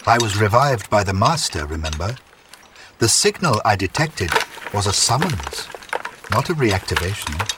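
An actor playing a polite, emotionless android envoy in an audio drama, speaking in a formal, measured, impassive way. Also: male voice, fairly low pitch, sing-song, very noisy. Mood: calm, dutiful.

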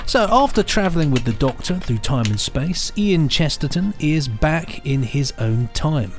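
A man co-hosting a podcast, presenting casually with chirpy, jokey banter. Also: mid-range voice. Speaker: podcast co-host.

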